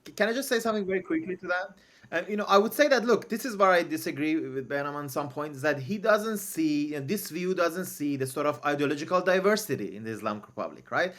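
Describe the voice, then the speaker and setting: A man's voice: a little high, lively intonation, fast, fairly bright tone. A man from Iran, a native Persian speaker, arguing his side on a discussion panel with emphatic, filler-heavy talk. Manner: emphatic, argues back with lots of "you know".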